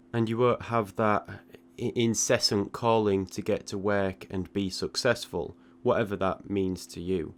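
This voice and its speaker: A man delivering a reflective, measured monologue, explaining his thoughts directly to the listener. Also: sits low. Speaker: host of an audio log. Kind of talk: reflective monologue, personal essay.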